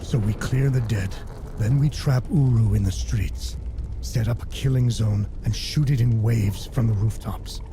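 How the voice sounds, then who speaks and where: A man's voice: mid-range voice, a little slow, warm tone, faint music behind, natural voice. A man playing a firm, calculating soldier in a game cutscene. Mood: determined, tactical. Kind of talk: dramatic game cutscene acting.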